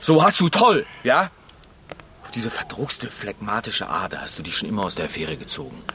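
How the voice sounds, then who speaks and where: A dismissive, needling husband in midlife in a radio play. His pitch swings widely and his tone is sharp and sardonic. There is faint noise behind him. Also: wildly swooping pitch, moderate pace. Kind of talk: naturalistic German radio drama acting.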